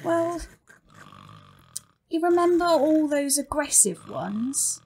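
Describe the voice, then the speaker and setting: Crabby, a high-pitched chatty demon minion. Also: female voice, expressive, slow, darkish tone, heavily acted. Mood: anxious, cautioning. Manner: deferential, chatty. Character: Crabby, tipsy sycophantic demon minion of Satan. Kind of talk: audio comedy drama acting.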